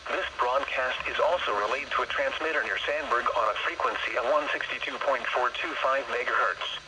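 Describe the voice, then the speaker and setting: Clear noise sits over a weather radio broadcast. An American man with a medium-pitched voice reads a scripted bulletin. His delivery is formal, measured and automated, like an official announcer. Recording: noisy weather radio reception.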